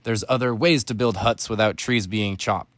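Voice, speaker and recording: A man's voice: lowish voice, moderate pace, fairly bright tone, no room sound, natural voice. A man voicing an earnest, pleading young treehopper insect in a rhyming children's storybook. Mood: urgent protest.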